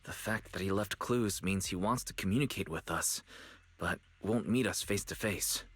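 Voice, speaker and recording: A man voicing a calm, capable young man in a game, reasoning things through in a reassuring way. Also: low-pitched, normal speed, husky.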